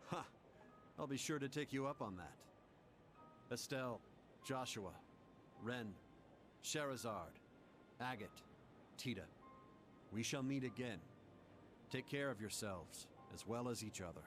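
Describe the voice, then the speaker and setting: An actor playing a seasoned, genial middle-aged father in a video game, speaking in an unhurried way. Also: medium pitch, animated, a bit bright, soft background music. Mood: fond. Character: seasoned, genial father bidding comrades farewell.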